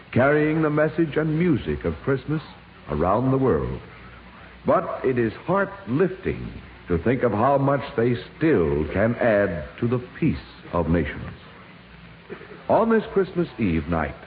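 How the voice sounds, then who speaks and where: A man's voice: mid-range voice, phone-quality sound. Vintage radio announcer man, band-limited and echoing. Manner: formal, solemn, measured delivery. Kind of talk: scripted 1950s radio sponsor announcement. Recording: old hissy radio broadcast, reverberant hall.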